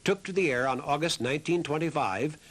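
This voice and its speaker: Husky higher-pitched male documentary narrator. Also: lively intonation, a little fast, fairly bright tone. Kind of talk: scripted narration.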